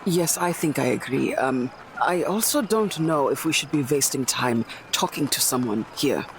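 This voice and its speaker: A man's voice: medium pitch, expressive, normal speed, a little hiss or hum, poor audio. A man chatting casually as a player in a tabletop roleplaying game, slipping between character and table talk.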